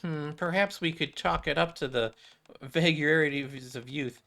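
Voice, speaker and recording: Poised woman designer in a game, read by a man, bright voice, polite, measured and encouraging. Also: fairly high pitch, gravelly, exaggerated voice. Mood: kindly, approving.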